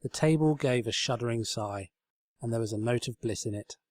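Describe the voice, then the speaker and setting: A man's voice: mid-range voice, fairly quick, a little mellow. A man reading a story aloud as narrator, in a scripted storybook style. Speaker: fan fiction reader, narrator.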